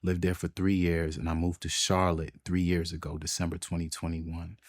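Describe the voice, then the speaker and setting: A low-voiced man hosts a relaxed podcast and asks questions in an easygoing, slangy way. He was born in Brooklyn and raised in Florida. The sound is dry and close to the mic. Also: normal speed, no room sound. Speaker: podcast host. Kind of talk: casual podcast conversation.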